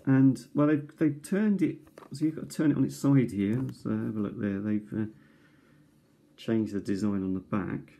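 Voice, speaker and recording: A man casually showing his cassette tape collection at a kitchen table, recorded on a tablet, pausing often with ums and restarts. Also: on the low side, creaky, dark tone. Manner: hesitant, many ums and restarts. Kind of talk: casual show-and-tell. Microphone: tablet camera mic.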